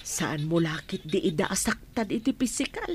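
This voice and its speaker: A woman's voice: lowish voice, expressive, moderate pace, a little hiss or hum, natural voice. A woman playing a proud, protective middle-aged mother in a radio drama, talking in an insistent, lecturing way. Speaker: Juliet's mother. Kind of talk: amateur radio drama acting.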